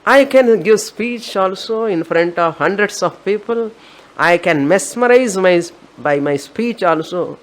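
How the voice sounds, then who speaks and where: A woman's voice: medium pitch, lively intonation, unhurried. A woman with an Indian accent, a spiritual teacher giving an emphatic, repetitive discourse on scripture.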